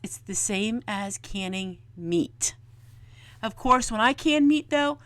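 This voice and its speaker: A middle-aged woman giving a casual, folksy homestead how-to talk, chatty and explanatory. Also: medium pitch. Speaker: home canning vlogger.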